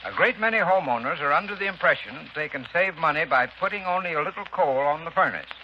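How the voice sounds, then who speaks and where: A male sponsor spokesman giving scripted advice in a measured, earnest manner, in a muffled 1930s radio broadcast. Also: a little high, lively intonation, a little hiss or hum.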